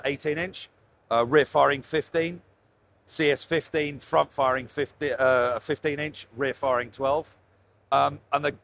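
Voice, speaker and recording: A man's voice: medium pitch, fairly bright tone. A British man gives an enthusiastic, effusive product presentation as a company representative, stressing and repeating words of praise. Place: trade show floor. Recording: clear background noise of busy trade show. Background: trade show ambience noise.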